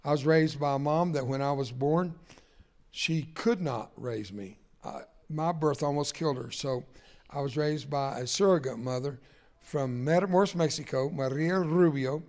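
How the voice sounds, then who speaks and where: An older man whose first language was Spanish, preaching a sermon in a folksy, self-deprecating way and swinging from jokes to quiet, personal moments. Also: a little high, moderate pace.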